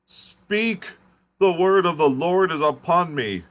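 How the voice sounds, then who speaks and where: A man's voice: mid-range voice, slow, fairly bright tone, voice pushed up. A man voicing a half-mad male curate who rants and wails like a preacher. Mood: raving. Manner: fervent wailing sermon-like raving.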